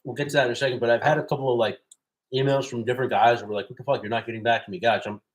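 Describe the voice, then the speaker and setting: A man hosting a self-defense livestream, relaxed and casual, telling stories in street-style slang. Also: mid-range voice, very fast, low-quality recording. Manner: laid-back, storytelling, street-style slang. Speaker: host of a self-defense channel.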